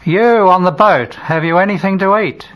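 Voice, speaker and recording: A man doing a gentle, calm teacher figure. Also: medium pitch, normal speed, close to an everyday voice, rough recording. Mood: calling out.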